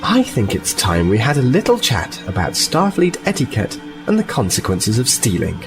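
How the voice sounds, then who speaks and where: Lively, dry-witted starship officer played by a man. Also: mid-range voice, moderate pace, music in the background, poor audio. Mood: scolding. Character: exasperated, dryly plainspoken male starship officer. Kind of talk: sci-fi audio drama acting.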